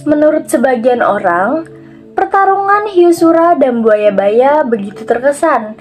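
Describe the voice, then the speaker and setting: A woman's voice: sits high, darkish tone. A young woman reading a scripted children's fable as narrator. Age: young adult. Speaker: student storyteller.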